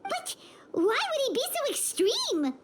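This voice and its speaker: A woman voicing a small, childlike companion creature, earnest, curious and squeaky, in a video game. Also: huge pitch swings, a little slow, heavily acted. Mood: worried. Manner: exclamatory, inquisitive. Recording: music behind the voice. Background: game music.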